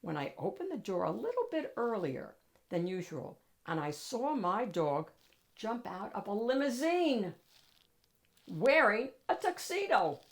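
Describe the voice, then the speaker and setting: A woman reads a children's picture book aloud as host, with expressive, dramatic emphasis. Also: mid-range voice, measured pace. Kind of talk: animated storybook narration for children.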